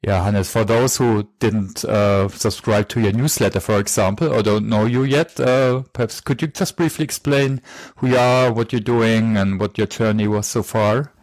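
A male podcast host with a lowish voice, recorded dry and close with no room sound. He asks his questions haltingly, filling gaps with "uh" and "let's say". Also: steady tone, darkish tone, dry recording. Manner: hesitant, with many uhs and "let's say". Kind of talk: podcast interview.

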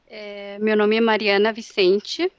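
A woman from Mexico speaking Portuguese with a Mexican Spanish accent, a soft-spoken podcast guest who pauses on fillers. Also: mid-range voice, little pitch movement, clean tone, no room sound, muffled recording. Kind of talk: casual podcast chat.

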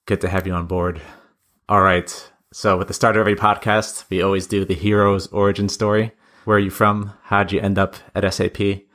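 A man's voice: low voice, little pitch movement, a little fast, fairly bright tone. A man hosting a company podcast, opening the episode and asking his guest questions in an upbeat, friendly way. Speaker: podcast host. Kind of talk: podcast interview.

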